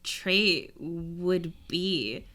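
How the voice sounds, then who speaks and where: A woman's voice: lowish voice, extremely slow. A woman co-hosting a casual podcast chat, talking animatedly with bursts of laughter. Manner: animated, laughing, defensive banter. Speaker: podcast co-host.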